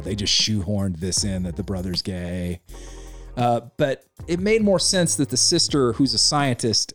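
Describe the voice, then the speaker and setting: A man co-hosting a casual podcast, giving a rambling, opinionated movie review. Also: on the low side. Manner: opinionated, rambling, animated retelling. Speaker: podcast co-host.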